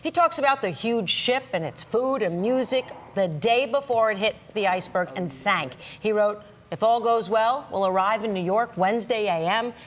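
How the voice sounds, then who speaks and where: A woman's voice: medium pitch, moderate pace, slight background noise. An American woman anchoring a live local newscast in an informal, chatty way. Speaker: TV news anchor.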